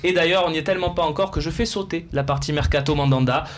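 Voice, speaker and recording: Lively higher-voiced male talk show host. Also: slight background noise. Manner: lively, prompting guests, quick interjections. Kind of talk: hosting a football talk show.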